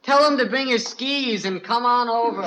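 An actor playing an excitable teenage son in a 1950s radio sitcom, eager and boyish. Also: male voice, extremely high pitch, moderate pace, noisy background. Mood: excited.

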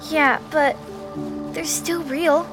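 A frank young daughter, the peacemaker of a family audio drama. She speaks in a very high voice at an unhurried pace over clear music. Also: fairly even intonation. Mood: coaxing.

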